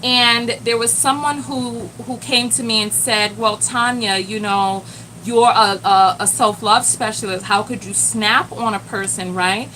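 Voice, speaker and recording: A woman's voice: a little high, measured pace, a little hiss or hum, low-quality recording. A woman hosting a live stream as a self-love coach, chatting animatedly and talking straight to her viewers. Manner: animated, addresses the chat directly, exclaims "Lord have mercy".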